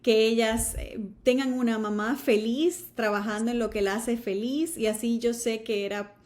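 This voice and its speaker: A woman hosting a conversational podcast interview, affirming her guest and reflecting the story back with halting, repeated words. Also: a little high, steady tone, a little mellow. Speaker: podcast host.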